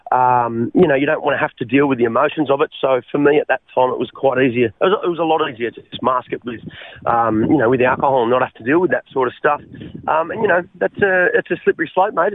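Aussie bloke on a dry phone line, rushed and rambling, lots of ums and mates. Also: male voice, fairly high pitch, husky, no echo. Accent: Australian. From Western Sydney, Australia. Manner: rambling, many fillers and restarts, calls the host mate. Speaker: guest sharing his testimony. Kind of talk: casual interview over the phone.

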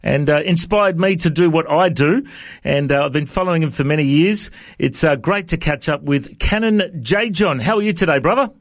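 An Australian man in his forties hosts an interview in a conversational manner. His voice sits a little high for a man, and the sound is dry, with very little room in it. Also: moderate pace, no room sound. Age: 40s. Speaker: radio interview host.

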